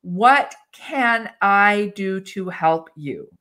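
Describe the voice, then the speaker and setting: Woman, HR advice, video call, animated, acts out dialogue, frequent laughs, mid pitch. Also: extremely slow. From English-speaking country. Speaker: HR expert guest. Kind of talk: live webinar discussion.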